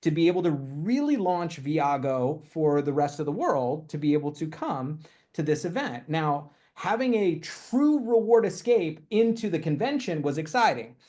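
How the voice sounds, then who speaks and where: Male team leader, higher voice, energetic and emphatic, live video call. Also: moderate pace. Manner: upbeat, emphatic, repeats key points. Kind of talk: motivational team call talk.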